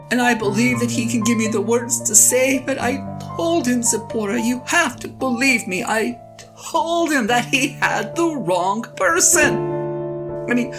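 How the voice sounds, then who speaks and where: Reluctant, aging prophet and husband, played by a woman: higher-pitched, halting, pleading, conversational audio drama monologue over clear music. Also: lightly acted. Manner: halting, stammering, pleading, sighing. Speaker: actor in a one-person audio drama. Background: guitar and piano music.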